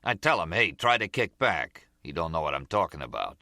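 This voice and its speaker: Audiobook mob boss, male reader acting: dismissive tough guy, very deep, dry close sound, blunt delivery. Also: sing-song, fairly quick, bright, forceful tone, no echo, heavily acted. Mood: gruff, offhand. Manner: terse, indifferent, blunt tough-guy talk.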